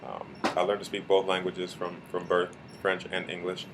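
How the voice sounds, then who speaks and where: A young man from Canada answers interview questions thoughtfully and explains his points at length. His voice is very low-pitched. Steady background noise sits under the recording. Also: moderate pace. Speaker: student interviewee. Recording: noisy outdoor recording.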